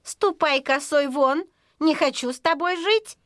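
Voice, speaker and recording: A woman's voice: high-pitched, measured pace, a bit bright, no room sound, clean studio sound. A woman voicing a sly, bossy female fox who wheedles and threatens in a singsong way in a children's fairy-tale audio play. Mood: menacing.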